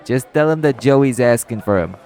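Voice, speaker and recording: A man playing a brisk, businesslike nightclub tailor who talks directly and informally in an audio roleplay. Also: mid-range voice, lively intonation, darkish tone, some chatter behind, slight background noise, close to an everyday voice. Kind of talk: audio roleplay acting addressed to the listener.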